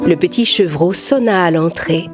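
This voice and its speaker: A woman reading scripted narration for an animated children's story. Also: lowish voice, moderate pace. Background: music.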